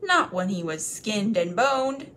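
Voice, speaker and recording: A woman voicing a coarse male troll, rough and bullying. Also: mid-range voice, big pitch swings, slow, a bit bright, slight background noise. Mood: gloating.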